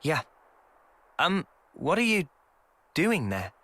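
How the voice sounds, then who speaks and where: A man playing a calm, earnest young squad leader in a video game, measured and conciliatory. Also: a little high, no room sound, exaggerated voice. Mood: calm, practical.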